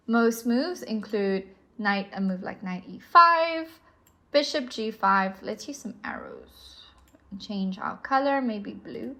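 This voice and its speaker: A young woman with a South African accent teaches chess at an unhurried pace, patiently talking through moves with the odd filler. Her voice sits at an ordinary pitch for a woman. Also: bright, forceful tone. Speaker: chess instructor. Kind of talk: explaining chess moves on a board.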